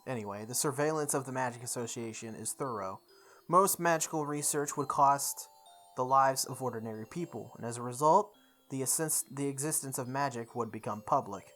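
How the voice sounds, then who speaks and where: Visual novel playthrough narrator, medium pitch, deliberate read-aloud delivery with small stumbles, music underneath. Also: fairly bright tone. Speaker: let's play host reading a visual novel aloud. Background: background music.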